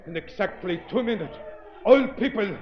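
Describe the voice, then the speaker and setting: An actor playing a vain, gullible mad doctor with a foreign accent and halting broken English in a 1930s radio drama. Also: male voice, sits high, animated. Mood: gloating, menacing. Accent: foreign, non-native English. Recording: noisy, muffled 1930s radio recording.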